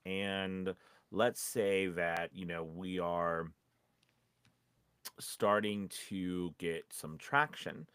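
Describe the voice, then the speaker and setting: A man co-hosting a podcast, giving casual advice in a halting, rambling way, often restarting his sentences. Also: sits low, steady tone, fairly bright tone, clean tone, no room sound. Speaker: podcast co-host and voice actor.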